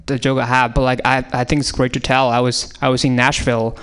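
Smooth-voiced man with a Chinese accent. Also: medium pitch, little pitch movement, a little fast, fairly bright tone. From China. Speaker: comedian riffing on prompts. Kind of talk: improvised comedy on audience prompts. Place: radio studio.

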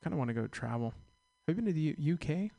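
A man's voice: on the high side, expressive, racing, creaky, mellow. A man hosting a radio show, chatting casually in a rambling, easygoing way with lots of fillers. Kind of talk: casual chat. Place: radio studio.

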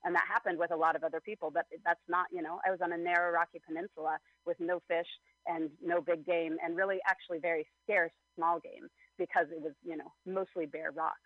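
A man answering interview questions in a thoughtful, measured way, with plenty of fillers. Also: a little high, flat delivery, dry recording. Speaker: guest. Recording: slightly dull, lo-fi sound.

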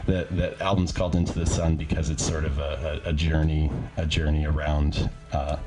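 A man with an extremely low voice talks loosely as a studio guest. He jokes and rambles, often restarting a sentence. He is speaking into an old hand-held microphone in a small radio studio. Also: flat delivery, normal speed, heavy background noise. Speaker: band leader, guest. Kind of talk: casual radio interview.

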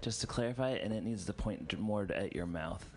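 A man hosting a casual radio chat, asking questions and teasing in a halting way. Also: lowish voice. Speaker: radio host.

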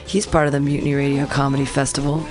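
A woman's voice: extremely low pitch, quick pace, faint music behind. A woman hosting a community radio show, chatting casually and telling rambling stories. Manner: chatty, rambling, animated retellings. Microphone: studio mic.

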